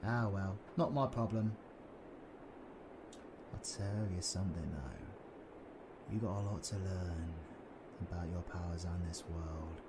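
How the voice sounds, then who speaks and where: Male actor as British supervillain, unhurried, lowish voice, menacing, flirty, breathy, taunting, audio roleplay, noisy ambient backdrop. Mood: smug, taunting. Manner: taunting, breathy, swings between whispering and shouting. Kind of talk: roleplay audio drama, intimate ASMR.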